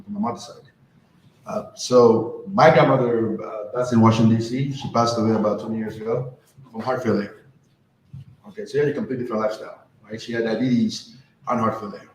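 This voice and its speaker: A man's voice: fairly low pitch, a little hiss or hum. A man from France giving a conversational talk to a live audience, often asking the crowd questions. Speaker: conference speaker. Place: event hall. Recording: roomy hall with faint ambience.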